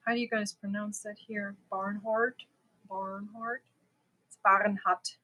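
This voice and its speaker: A woman's voice: medium pitch, flat delivery, takes it slowly, sharp tone, poor audio. A middle-aged woman from Germany, chatting casually with viewers as host of a crochet livestream and laughing easily. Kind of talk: casual livestream chat answering viewer comments.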